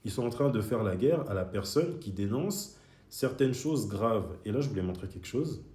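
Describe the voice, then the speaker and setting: A young man who grew up in Switzerland talks back at his critics, heated and argumentative. His voice sits low and mellow, and it rings around an echoey room. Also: animated, moderate pace. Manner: argumentative, speaks straight to critics. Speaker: video creator. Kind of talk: heated monologue to commenters.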